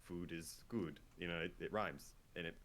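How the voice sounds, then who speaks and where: A man's voice: low voice, moderate pace, fairly bright tone. A man from Michigan, a guest chatting casually on a remote call. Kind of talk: casual chat.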